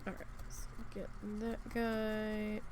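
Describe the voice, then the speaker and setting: A woman with a hoarse, scratchy voice, chatting in a rambling, casual way as she hosts a needle-felting livestream from home. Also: medium pitch, level tone, slow. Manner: chatty and rambling, talking while she works. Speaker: craft livestream host.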